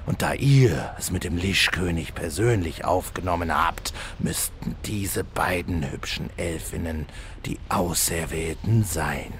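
Man playing a deep, slow, menacing demon hunter. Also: a little hiss or hum. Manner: slow, solemn, disdainful. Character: menacing male demon hunter seeking revenge on his brother. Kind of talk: parody fantasy audio drama acting.

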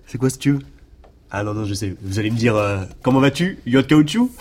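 An actor playing an excitable jokester who rambles eagerly from one corny pun to the next in an audio drama. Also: male voice, mid-range voice, fairly quick, slight background noise, lightly acted. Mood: hesitant, joking. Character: excitable jokester man obsessed with corny puns. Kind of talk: podcast audio drama acting.

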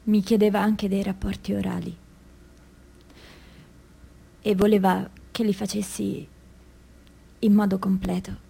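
A woman's voice: mid-range voice, little pitch movement, a little slow, gravelly, long pauses, dark tone, breathy edge, heavily acted. An actress playing an anguished mother who gives an intense, confessional testimony of past abuse in a dramatic stage monologue. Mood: subdued, pained. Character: anguished mother recounting abuse by a priest in girlhood.

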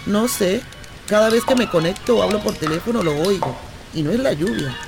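An actress playing a restless, affectionate Colombian traveller in a radio drama, telling things in a playful, expressive, conversational way. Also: female voice, mid-range voice, brisk, over music, natural voice. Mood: chatty, teasing. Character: adventurous, restless, affectionate young Colombian woman stranded while travelling. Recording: remote recording with clear traffic noise.

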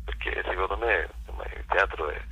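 A man giving a spontaneous interview, talking haltingly and repeating words as he searches. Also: sits low, bright, forceful tone, slightly rough, low-quality recording. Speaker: actor and playwright, interview guest.

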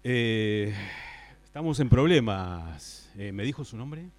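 A man with an Argentine accent playing a forgetful, exasperated office boss in a radio play, speaking haltingly and repeating himself. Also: on the low side, expressive, a little mellow, no echo. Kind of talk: improvised radio play acting.

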